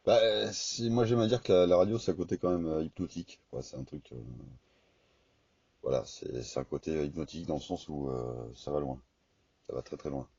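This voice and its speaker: Very deep-voiced man chatting over radio. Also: mellow, husky. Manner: halting, informal, slangy. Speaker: amateur radio operator. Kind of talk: casual radio contact chat. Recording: dull, echoey two-way radio recording.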